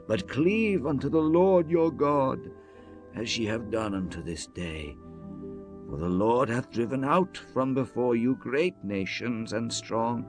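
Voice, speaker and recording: A man performs an aged Hebrew leader addressing his people in a dramatized scripture reading. He speaks very slowly and solemnly, swelling with emphasis and dropping to grave hushed tones. Prominent music plays beneath the oratory. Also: medium pitch. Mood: stern exhorting. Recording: music under the voice throughout.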